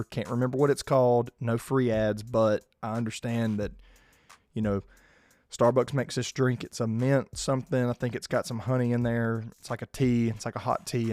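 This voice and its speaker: A man, hoarse and losing his voice, hosting a casual sports podcast and keeping his volume down. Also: mid-range voice, darkish tone, dry recording. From South Georgia, US. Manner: restrained, holding his volume down. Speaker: podcast host.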